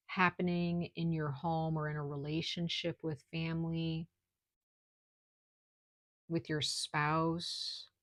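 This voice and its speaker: A woman with a low, gravelly voice reads tarot for her viewers in a loose, chatty way. She talks quietly at times and keeps asking "okay?" as she goes. The sound is dry and close, with no room echo. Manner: speaks softly at times, lots of "like" and "okay?". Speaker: tarot reader. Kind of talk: casual spiritual reading to camera. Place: at home, noise outside.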